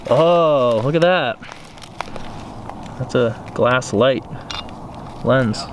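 An American man gives casual, curious commentary while exploring on foot. His voice sits at an average pitch. It is caught on a handheld camera outdoors, with clear background noise. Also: drawn-out words. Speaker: host of an exploring vlog. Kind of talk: casual walking commentary to viewers. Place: outdoors in an open overgrown field. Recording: noisy outdoor camera audio.

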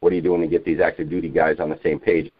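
Male activist, very low voice, rambling and insistent political talk, narrowband dry phone audio. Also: little pitch movement, slightly rough, no room sound. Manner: halting, repetitive, insistent, peppered with you know. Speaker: civilian activist on a group conference call. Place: telephone conference call. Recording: muffled narrowband phone conference line.

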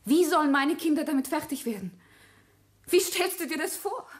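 Protective mother, radio drama: higher voice, deliberate, emotional, reproachful. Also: lively intonation. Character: resolute grown daughter and mother, protective, reproachful. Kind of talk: German radio drama acting.

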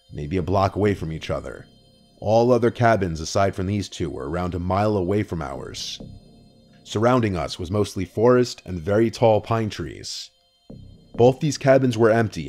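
Low-voiced man narrating hushed horror. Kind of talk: scripted first-person horror narration. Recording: soft music underneath.

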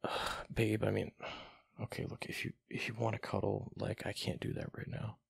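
A man playing a young gamer boyfriend in an ASMR roleplay, reluctant but affectionate, with halting, slangy, casual talk. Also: little pitch movement, no echo, exaggerated voice. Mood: soft, reluctant, affectionate. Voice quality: deep, gravelly, breathy murmur at times. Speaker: voice actor in boyfriend roleplay audio.

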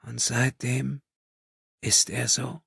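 A soft-hearted young woman in an audiobook, played by a man, lifted above his natural register into a breathy hush. The delivery is hesitant and compassionate, with a husky grain and a close, dry sound. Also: mid-range voice, flat delivery, slow pace, no echo, pitched above the performer's own voice, exaggerated voice. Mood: gentle, compassionate.

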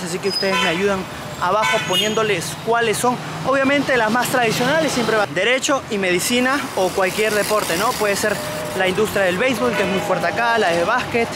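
A man's voice: high voice, a little fast, very noisy. A young man from Peru with a Peruvian Spanish accent, chatting upbeat to a handheld camera on city streets. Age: young adult. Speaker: travel vlogger. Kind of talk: casual travel vlog. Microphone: handheld camera at arm's length. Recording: music playing underneath.

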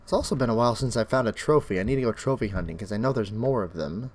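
A young man hosting his own Minecraft let's play, chatting as he plays, his voice crackly and bass-heavy from illness. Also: fast. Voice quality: extra bass from an allergic reaction. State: sick, crackly voice. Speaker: gaming channel host.

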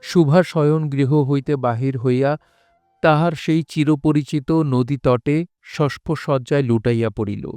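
A man narrating literary prose for an audio drama in a solemn, measured, dramatic way. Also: a little high, dark tone, dry recording, soft background music.

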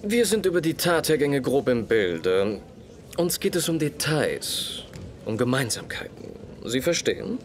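A man playing a composed, authoritative gentleman sleuth in a German radio play, speaking with formal courtesy. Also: medium pitch, very animated, a little slow, a little hiss or hum. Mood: formal, firm.

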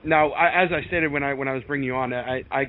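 A man's voice: mid-range voice, steady tone, quick pace. A young man conducting a casual podcast interview, hesitant and often repeating his words as he goes. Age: young adult. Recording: tinny phone-like sound, faint noise.

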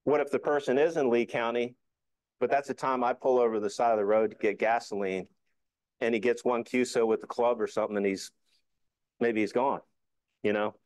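A man's voice: mid-range voice, steady tone, brisk, darkish tone, no room sound. A middle-aged man giving an informal club presentation, explaining things in a conversational way. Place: indoor meeting room.

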